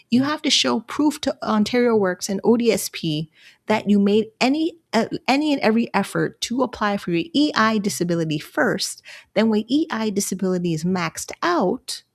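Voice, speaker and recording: A woman from Canada in her thirties, hosting a casual solo livestream in a chatty, rambling way, laughing often and jumping up in pitch when excited. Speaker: livestream host.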